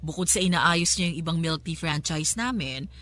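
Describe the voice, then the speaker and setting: A woman's voice: deep voice, normal speed, a bit bright. An actress with a Filipino accent playing a two-faced, haughty, wealthy mother in a radio drama, mixing English into her talk. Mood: gushing, falsely sweet. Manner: gushing sweetness switching to cutting contempt, English mixed in.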